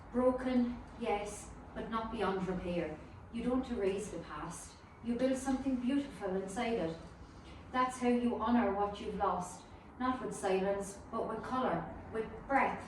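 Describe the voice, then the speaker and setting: Woman playing gentle healed griever, echoey, far off. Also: mid-range voice, close to an everyday voice. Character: gentle, hopeful woman who has healed from grief. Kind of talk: table read of a film script. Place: echoey room at a group table read. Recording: echoey room, distant mic, noisy.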